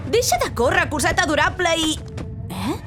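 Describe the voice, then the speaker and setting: A woman's voice: very high-pitched, very animated, moderate pace, loud music behind the voice. A woman voicing a bubbly, awkward and easily startled teenage girl in a cartoon fandub, breathless and exclamatory. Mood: amused.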